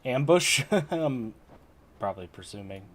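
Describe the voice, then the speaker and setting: A man's voice: medium pitch, expressive, measured pace. A man giving casual, offhand gameplay commentary as a streamer, joking along as he plays. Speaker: game streamer.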